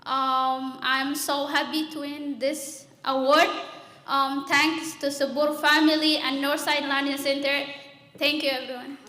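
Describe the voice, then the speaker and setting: A young woman from Kenya giving a short, halting acceptance speech in simple phrases. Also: high voice, little pitch movement, bright, forceful tone. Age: young adult. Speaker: award winner, English teacher. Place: large room at a live event. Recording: echoey hall, long reverb.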